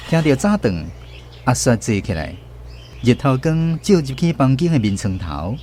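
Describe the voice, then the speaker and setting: A man's voice: mid-range voice, sing-song, moderate pace, mellow, slight background noise. A man with a Taiwanese Hokkien accent reading scripted narration for a storybook radio drama. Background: guitar music.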